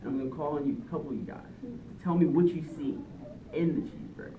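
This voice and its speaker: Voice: medium pitch, fast, narrow, tinny audio, noisy background. A teacher doing an interactive read-aloud, prompting listeners with questions, recorded from a distance in a roomy space. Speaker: teacher reading aloud to children. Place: indoor room with a group of children.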